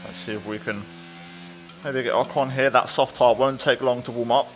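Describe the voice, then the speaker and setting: A man's voice: mid-range voice, fairly even intonation, moderate pace, background noise. A man giving casual, upbeat gameplay commentary as a video creator.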